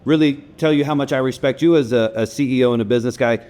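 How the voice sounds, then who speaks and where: A man hosting a podcast, talking casually and warmly praising his guest, with loose, run-on phrasing. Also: medium pitch, fast talker, smooth. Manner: enthusiastic, complimentary, loose phrasing. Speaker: podcast host.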